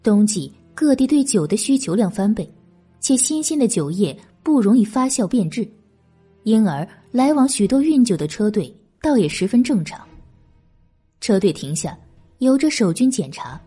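A woman's voice: mid-range voice, big pitch swings, moderate pace, mellow, slightly rough. A woman narrating a historical novel in scripted audiobook style. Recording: background music. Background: music.

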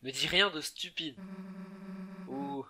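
A man voicing a tough, awkward teenage female monster in a game, blunt and halting. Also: mid-range voice, crowd noise, close to an everyday voice. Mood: grudging, threatening.

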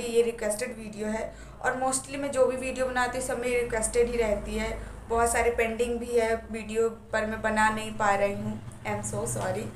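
A young woman with a slightly high voice and a sore throat chats casually to her viewers, apologetic and friendly. She records on a phone camera in a small, echoey room at home. A faint hum of noise sits behind her. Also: a bit bright. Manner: chatty and apologetic, talks directly to viewers. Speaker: vlogger.

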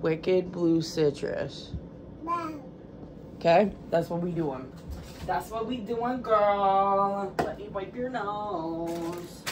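A woman's voice: mid-range voice, extremely slow. A woman in her thirties vlogging casually to camera in her small apartment, frank and chatty, full of "like" and "guys". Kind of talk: casual vlog chat to camera. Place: small apartment bedroom and kitchen. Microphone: camera set a few steps away. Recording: echoey room from far off, background noise.

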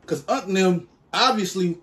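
Animated young man reacting on a headset. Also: normal speed. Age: 20s. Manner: animated, jumps to high exclamations, slangy. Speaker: reaction streamer. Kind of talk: casual reaction commentary. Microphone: headset mic.

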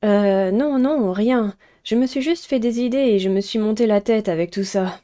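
Woman playing a curious, mellow schoolgirl heroine. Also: medium pitch, normal speed, lightly acted. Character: curious, eager new teenage schoolgirl heroine.

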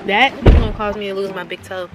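A woman's voice: medium pitch, fairly even intonation, fast talker. A young adult woman chatting casually with friends on a handheld vlog camera. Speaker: vlogger. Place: inside a vehicle and a convenience store at night. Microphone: handheld vlog camera at arm's length. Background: music.

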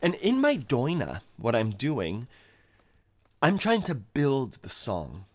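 A man's voice: mid-range voice, wildly swooping pitch, slow pace, a little hiss or hum. A man in his thirties teaching accordion on a livestream from a home music room, thinking aloud and scatting melodies. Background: accordion playing.

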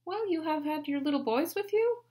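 A calm, sensible young woman, as a woman reader puts her on answers in a lifted, gentle voice. She sounds patient and reasonable and never raises her volume. She is read in a storybook way. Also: sits high, moderate pace, voice pushed up. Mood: soothing.

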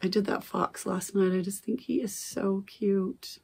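A middle-aged woman talks chattily at her desk camera in a home craft room, often circling back to correct herself. Her mid-range voice carries a gravelly fry, and it is still recovering after she lost it. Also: medium pitch, fairly even intonation, measured pace, darkish tone. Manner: rambling, self-correcting, chatty. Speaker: cross-stitch vlogger. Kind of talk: casual chat. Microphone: camera at desk distance.

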